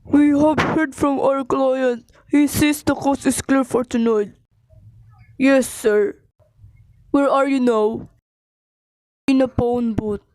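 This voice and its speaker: A woman's voice: high-pitched, steady tone, takes it slowly, long pauses, a little mellow, dry recording, voice pushed down, rough recording. A woman playing cold, matter-of-fact male hired killers plotting in an amateur radio play. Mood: cold, businesslike.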